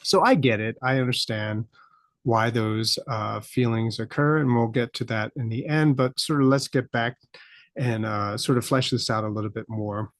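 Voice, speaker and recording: A middle-aged man with an American accent talks through his thoughts on a solo podcast, pausing often and filling gaps with "uh". His voice sits at an ordinary pitch for a man. The sound is dry and close, with no room around it. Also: dry recording. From United States. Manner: reflective, thinks aloud with frequent fillers. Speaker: podcast host.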